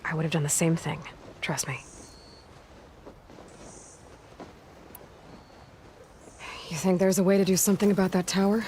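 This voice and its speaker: An actress playing a determined, pragmatic young woman adventurer in a video game cutscene, measured and matter-of-fact. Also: fairly low pitch, dark tone, a little hiss or hum, lightly acted. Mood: reassuring, resolute.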